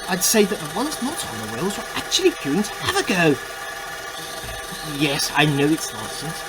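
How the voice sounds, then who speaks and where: Guy playing eccentric hobby scientist doing diary entries for a radio play, earnest, bit self important, voice on the high side and up and down a lot. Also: heavy background noise. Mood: alarmed, amazed. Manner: earnest, self-important, musing aloud in dated log entries. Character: eccentric amateur scientist man recording his secret experiment diary. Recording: home-recorded amateur radio play.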